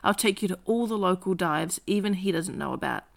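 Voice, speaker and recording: A woman reading the lines of a charming, eager young man in an audiobook-style reading, with a lively, coaxing delivery. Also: lowish voice, a little fast, gravelly. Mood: enthusiastic.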